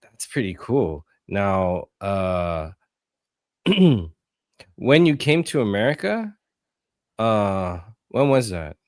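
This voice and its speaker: A man hosting a podcast, asking questions in a relaxed, easygoing way with plenty of uhs. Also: on the low side, slow, long pauses, no room sound, clean studio sound. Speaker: podcast host. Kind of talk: casual interview chat.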